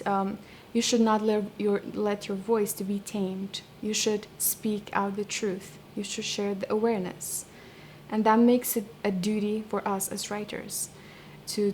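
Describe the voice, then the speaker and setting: A young Kurdish-accented woman speaks in a flat, level tone, weighing each thought with frequent ums and restarts. Her answers stay measured and reflective. A faint background hiss sits under her voice. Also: mid-range voice. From Iraq, Kurdish region. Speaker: poet and translator.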